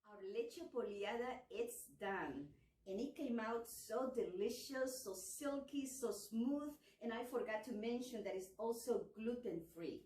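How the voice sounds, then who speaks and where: A woman's voice: on the high side, animated, a little slow, a little mellow, far from the mic. Enthusiastic woman in an echoey kitchen. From El Salvador. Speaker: cookbook author teaching a cooking class. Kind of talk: cooking demonstration.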